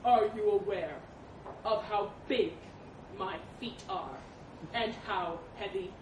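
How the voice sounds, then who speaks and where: Woman as a bullying storybook elephant, huge pompous male, blaring, drawn-out words, echoey distant recording. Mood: cold menace. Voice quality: booming, blaring. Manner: imperious, drawn-out delivery. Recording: echoey, distant mic, faint rumbling noise.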